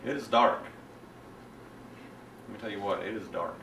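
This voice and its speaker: A man performing a dramatic monologue as a self-righteous, resentful young man, telling his story in a halting, colloquial, confiding way. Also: fairly low pitch, pushed and bright. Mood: casual, confiding. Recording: echoey room, distant mic, faint noise.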